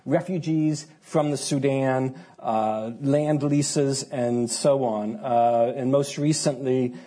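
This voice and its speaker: A man speaking on an academic panel, halting and hesitant, with many fillers. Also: medium pitch, fairly even intonation, dark tone.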